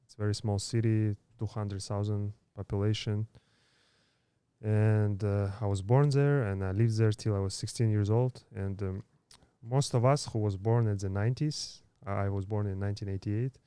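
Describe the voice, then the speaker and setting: Guy in his 30s from kazakhstan, kinda low smooth voice, stops and restarts a lot, dry close mic. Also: steady tone, mellow, no echo. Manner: halting, many fillers and restarts. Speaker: podcast guest. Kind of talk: casual interview storytelling.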